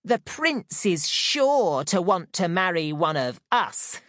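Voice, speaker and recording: A woman voicing shrill, cruel young stepsisters in a fairy tale, with a screechy, mocking delivery. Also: very animated, slow, a bit bright, no room sound, clean studio sound, exaggerated voice. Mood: smug. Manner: mocking, cackling.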